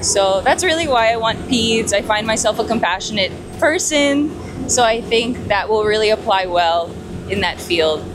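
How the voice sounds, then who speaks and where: A woman's voice: on the high side, lively intonation, moderate pace, background noise. A woman in her twenties from the United States, chatting casually and giggly as a pageant contestant hosting a vlog. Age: 20s. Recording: music playing behind the voice. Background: music.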